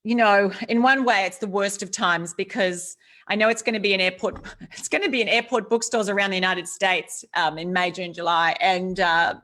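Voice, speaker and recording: A woman's voice: mid-range voice, steady tone, moderate pace, sharp tone. Earnest Australian woman, reflective. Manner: earnest and reflective, with many restarts. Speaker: author guest. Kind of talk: casual interview chat.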